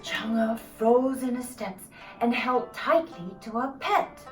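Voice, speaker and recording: A woman narrating a dramatised story in an expressive, theatrical way. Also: fairly high pitch, takes it slowly, distant mic. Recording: echoey room, off mic, music behind.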